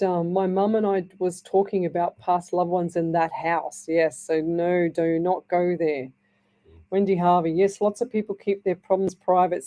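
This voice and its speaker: A woman's voice: fairly low pitch, mellow. A woman with an Australian accent hosting a live radio show as a psychic medium, chatting in a rambling, reassuring way with a dry, scratchy throat. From Northern Ireland. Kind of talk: live radio chat reading messages. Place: radio studio.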